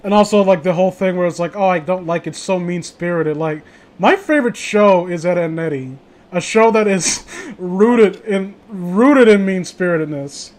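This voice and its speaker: A man's voice: high-pitched, normal speed, a little mellow. A man chatting casually as a host, rambling, blunt and opinionated, with frequent swearing. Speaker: host of a casual group chat.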